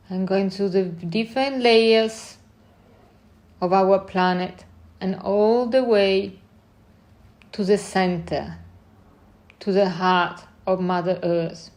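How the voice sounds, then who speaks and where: Slow-paced woman guiding a meditation. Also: medium pitch. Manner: gentle, unhurried short phrases with long pauses. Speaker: meditation guide. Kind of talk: guided meditation.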